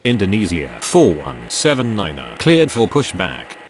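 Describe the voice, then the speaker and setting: A man's voice: huge pitch swings, a little slow. A man playing a formal, terse air traffic radio operator in a game, speaking in clipped scripted phraseology. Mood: formal, businesslike. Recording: very noisy game audio, vehicle rumble.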